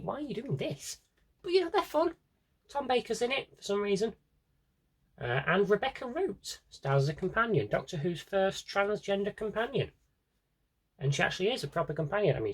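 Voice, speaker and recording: A man's voice: fairly high pitch, slightly rough. A British man chatting casually through a vlog-style review, wry and opinionated, with rambling asides. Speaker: vlogger showing a collection.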